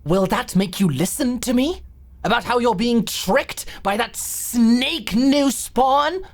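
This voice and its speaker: A woman's voice: on the high side, animated, takes it slowly. Indignant, swooping vampire woman, acted by a woman. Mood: outraged. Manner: exasperated, haughty, dramatic. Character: indignant, menacing female vampire doctor on trial. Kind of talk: ASMR roleplay audio drama.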